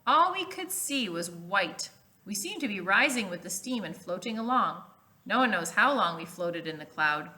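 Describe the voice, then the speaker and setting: Female teacher, children's read-aloud: bright, medium pitch, clear emphasis, explanatory and questioning. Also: sing-song, normal speed.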